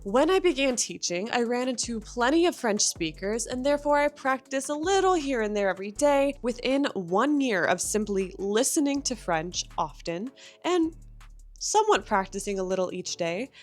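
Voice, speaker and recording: A woman's voice: on the high side, very animated, moderate pace, faint music behind. A young adult woman who teaches English, giving encouraging, direct language-learning advice in a scripted lesson.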